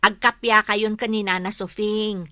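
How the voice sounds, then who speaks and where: A woman's voice: medium pitch, steady tone, a little slow, sharp tone, no echo, clean studio sound. A woman playing a sensible young daughter who questions and coaxes as a peacemaker in an Ilocano comedy radio drama. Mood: coaxing, reproving.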